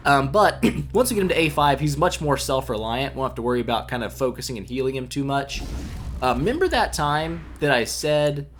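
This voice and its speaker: A young man talks casually over a mobile game, his voice a little stuffy from a cold. He rambles in a laid-back way, dropping frequent "um"s and poking fun at himself. His pitch sits in a plain middle range, with a faint hiss under the recording. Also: a little fast. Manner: relaxed, rambling, many "um"s, self-mocking asides. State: congested from a cold. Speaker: gaming YouTuber. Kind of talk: casual gameplay commentary.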